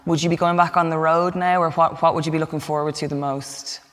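A woman's voice: sits low, steady tone, fast. A young Irish woman interviewing in a casual, conversational style. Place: large dim indoor hall. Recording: reverberant large hall.

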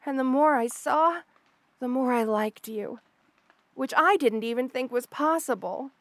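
A woman's voice: sits high, unhurried, fairly bright tone, dry recording. A woman voicing an obsessive, lovestruck rattlesnake lamia in an intimate audio roleplay, gushing and hesitant with coy stammers. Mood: shyly obsessive. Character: obsessive lovestruck female rattlesnake lamia, shy yet unsettling.

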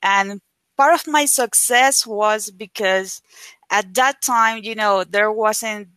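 A woman with Spanish as her first language, talking casually as an interview guest over a video call. Her voice is bright and forward and sits close to the mic with almost no room sound. She chats freely and leans on "like" fillers while acting out old conversations. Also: medium pitch, takes it slowly, dry recording. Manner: chatty, frequent "like" fillers, animated retelling. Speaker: guest, affiliate marketer.

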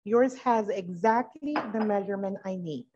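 A woman's voice: medium pitch, normal speed, mellow, slight background noise. A middle-aged woman giving a live cooking demonstration in a kitchen, thinking aloud as she works. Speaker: cooking class instructor. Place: indoor kitchen with steel fridge and counters. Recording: dull, muffled lo-fi live video sound.

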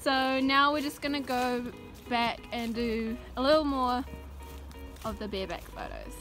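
A woman's voice: on the high side, measured pace, fairly bright tone, soft background music. A teenage girl with a New Zealand accent, chatting casually and informally as she vlogs on a phone held at arm's length. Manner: giggly, informal. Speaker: vlogger. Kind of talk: casual vlog chat. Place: rooms at home, then stable and paddock.

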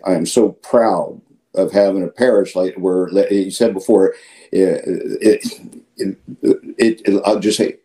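Older priest guy on a video call, really dark mellow low voice, stops and restarts words while explaining. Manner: halting, with repeated words and emphatic asides. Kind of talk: conversational interview answer. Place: indoor room, video call.

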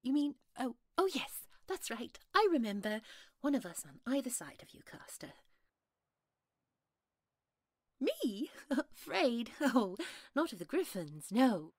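A woman's voice: on the high side, very animated, a little slow, no echo, studio quality. A woman playing a sweet, genteel young lady in a fantasy audio roleplay, polite, bubbly and easily flustered.

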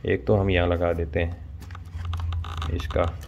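A man's voice: low-pitched, flat delivery, slow pace, a little mellow, highs cut off, slight background noise. A man in his thirties explaining things step by step in a casual tutorial vlog. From India. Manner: explains step by step, addressing viewers. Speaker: motovlogger presenting a product installation. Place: small indoor room at home.

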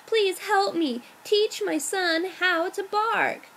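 Woman voicing an exasperated high-pitched mama dog. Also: gravelly, fairly bright tone, slight background noise, full character voice. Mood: pleading. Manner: emphatic, drawn-out words. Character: exasperated, pleading mother dog scolding her puppy.

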